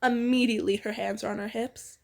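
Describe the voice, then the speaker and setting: A woman's voice: on the high side, steady tone, fairly quick, gravelly. A young woman reading fan fiction aloud informally, playful and giggly, slipping into casual banter.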